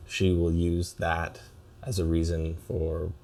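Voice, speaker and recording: Very low, flat-toned man, halting. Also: gravelly. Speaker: therapy client, husband. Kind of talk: reflective personal talk in therapy.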